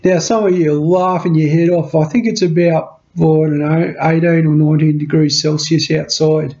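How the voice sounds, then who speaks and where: Middle-aged Aussie man, high-ish voice, head cold, casual rambling tech vlogger, muffled webcam sound. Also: normal speed. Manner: chatty, repeats words, animated hand gestures. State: has a cold. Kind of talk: casual rambling explanation to camera. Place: small cluttered home workshop room. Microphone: webcam at desk. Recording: dull lo-fi webcam audio.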